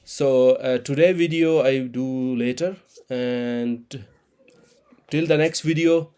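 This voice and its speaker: A man in his thirties, an Urdu speaker, explaining a trading chart in halting with many restarts. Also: medium pitch, steady tone, dark tone, clear, resonant tone. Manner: halting non-native English, frequent restarts and repeated words. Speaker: crypto trading channel host.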